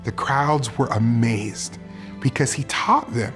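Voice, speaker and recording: A woman reading a children's Bible story narration in a calm, measured way. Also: rumbling low, soft background music.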